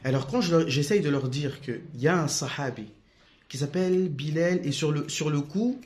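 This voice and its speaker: A man's voice: fairly high pitch, moderate pace, darkish tone. Hesitant, defiant man talking to camera. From France. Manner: defiant, many hesitations and restarts. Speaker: religious video commentator. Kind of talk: unscripted monologue to camera.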